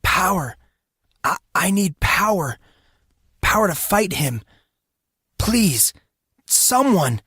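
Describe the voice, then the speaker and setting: Man voicing an anguished teenage boy, higher pitched. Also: sing-song, slow pace, long pauses, bright, forceful tone, husky, dry recording, studio quality, heavily acted. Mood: desperate. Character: desperate, anguished fourteen-year-old boy fighting inner rage. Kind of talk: audiobook character acting.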